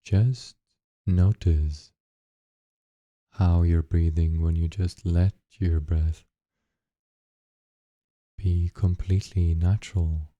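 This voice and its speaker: A man leading a guided meditation, giving gentle, unhurried instructions with long pauses between short phrases. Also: low-pitched, steady tone, no room sound, muffled recording.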